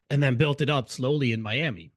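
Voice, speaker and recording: A man's voice: medium pitch, a bit bright, no echo. Chatty Hungarian-raised man, dry sound. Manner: chatty, loose, restarts sentences often. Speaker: podcast host. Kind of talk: casual interview chat.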